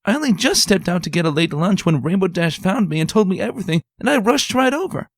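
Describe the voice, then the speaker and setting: A man's voice: high-pitched, very animated, quick pace, dry recording, clean studio sound, pitched above the performer's own voice, heavily acted. A man voicing a fussy, theatrical young woman unicorn who speaks in a dramatic, refined, gushing way.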